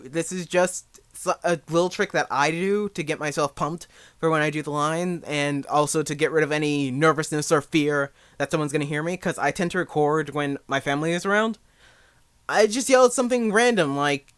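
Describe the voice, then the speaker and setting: A man's voice: a little high, fairly bright tone, clear, resonant tone, no room sound, clean studio sound. A young man giving a casual spoken tutorial in a rambling, jokey, self-deprecating way. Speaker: amateur voice actor giving beginner advice.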